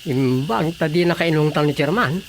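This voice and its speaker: Low, creaky-voiced nosy Filipino village woman. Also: normal speed. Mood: curious, incredulous. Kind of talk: Filipino radio comedy drama acting. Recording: noisy background with insect and animal ambience.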